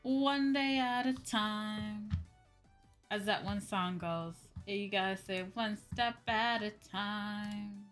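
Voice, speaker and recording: A woman hosting a casual livestream, chatting with viewers in a drawn-out, exclamatory way and often repeating her phrases. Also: mid-range voice, fairly bright tone, faint music behind. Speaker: livestream host.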